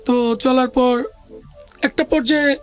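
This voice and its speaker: Casting: Bangladeshi-accented woman, high-pitched, conversational storyteller in an interview, English words mixed in, soft music underneath. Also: steady tone, a little slow. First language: Bengali. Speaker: interview guest. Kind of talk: recounting a personal life story.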